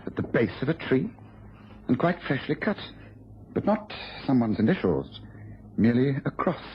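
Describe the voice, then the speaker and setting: An actor playing a brisk, exacting English gentleman detective in a vintage radio drama, with an incisive, questioning, faintly impatient manner. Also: medium pitch, sing-song, close to an everyday voice. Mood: inquisitive. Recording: muffled, noisy old radio recording.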